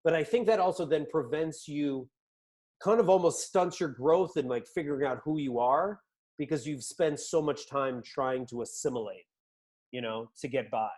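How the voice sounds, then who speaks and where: A man's voice: on the high side, moderate pace, darkish tone. A middle-aged man with an American accent in a casual, reflective conversation as the interviewer, thinking aloud informally.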